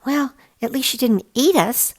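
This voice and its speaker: A woman's voice: high voice, animated, measured pace, pitched above the performer's own voice, heavily acted. A woman voicing a goofy, cheeky, hungry young boy in a children's storybook, flippant and exclamatory.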